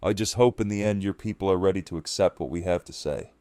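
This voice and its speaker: Low-voiced, guarded lawman played by a man. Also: fast, dark tone, no room sound, clean studio sound, lightly acted. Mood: guarded, polite. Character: reserved newcomer sheriff, measured and guarded. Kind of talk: audio drama dialogue.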